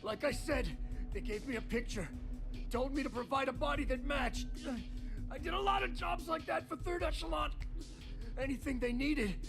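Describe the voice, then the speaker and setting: An actor playing a panicked, cowardly villain in a video game, pleading in a strained, gravelly voice. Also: male voice, normal speed, over music. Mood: fearful confessing. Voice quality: high-pitched, gravelly. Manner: desperate, strained. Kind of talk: video game cutscene acting.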